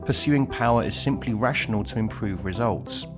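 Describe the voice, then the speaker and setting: A man reading scripted documentary narration in a measured, announcer-like way. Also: fairly low pitch. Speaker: video narrator. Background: music bed.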